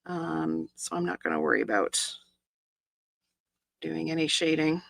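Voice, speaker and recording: A middle-aged woman with a croaky, hoarse voice, chatting casually as she demonstrates a stamping and card-making project step by step. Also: deep voice, unhurried, no room sound. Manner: chatty, explains each step with asides and small laughs. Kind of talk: casual live craft tutorial.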